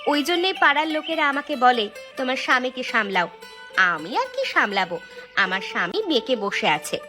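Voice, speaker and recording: A woman voicing a sharp-tongued village wife who nags and scorns in a cartoon. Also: sits high, very animated, normal speed, soft background music, exaggerated voice. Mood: nagging. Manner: nagging, scornful. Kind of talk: Bengali cartoon dialogue.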